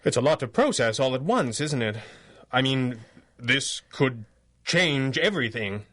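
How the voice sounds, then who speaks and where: An actor playing a flustered, lovestruck male superhero in a 1930s-style radio serial, halting and apologetic. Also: medium pitch, very animated, fairly bright tone. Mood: awkward, sheepish.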